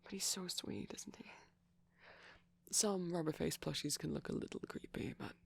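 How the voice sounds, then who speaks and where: Hushed, breathy man with a creaky edge. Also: on the high side, normal speed. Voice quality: hushed, breathy, often slipping into whisper. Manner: gentle, shy, hesitant. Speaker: ASMR performer. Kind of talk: soft-spoken ASMR roleplay ramble.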